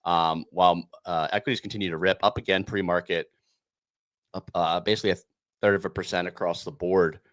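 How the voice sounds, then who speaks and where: Man, podcast host, low voice, laid-back, hesitant, casual banter. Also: fairly even intonation, fairly quick, fairly bright tone.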